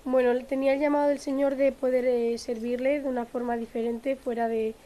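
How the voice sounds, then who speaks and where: A woman's voice: high-pitched, level tone, brisk, dark tone. A woman from Madrid volunteering at a refugee camp, answering interview questions sincerely with some hesitation. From Madrid, Spain.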